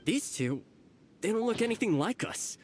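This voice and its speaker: An actor playing a nervous smooth-talking petty crook with a salesy manner, over game music. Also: male voice, sits high, very animated, moderate pace, noisy background. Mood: shifty. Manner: salesy, nervous, talks his way out. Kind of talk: video game voice acting. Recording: game soundtrack under the voice.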